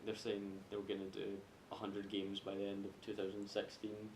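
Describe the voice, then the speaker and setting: Low, flat-toned Scottish man in echoey room. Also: normal speed. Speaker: podcast host. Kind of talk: casual group chat. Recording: roomy echo, faint noise and rumble.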